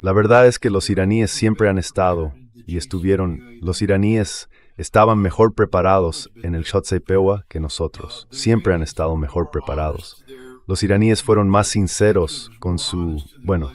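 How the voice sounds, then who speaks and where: Really deep male voice, dubbed political pundit, blunt and explains stuff firmly. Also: moderate pace. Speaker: political commentator, interview guest. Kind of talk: translated voice-over of political commentary.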